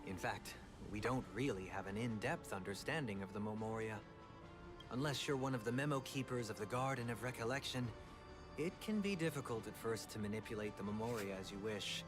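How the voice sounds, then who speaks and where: A man playing a courteous, self-assured gentleman guide in a video game, formal and given to explaining. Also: fairly low pitch, fairly quick, natural voice. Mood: polite, explanatory. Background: game music.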